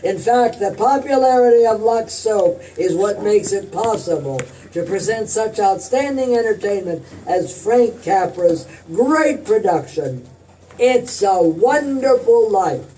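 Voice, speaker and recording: A polished 1940s radio producer presenting a drama, acted by a man. The delivery is slow and mellow with a very lively melody, as scripted narration from the golden age of radio. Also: sits high, recorded from a distance, a little hiss or hum, poor audio. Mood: polished, measured.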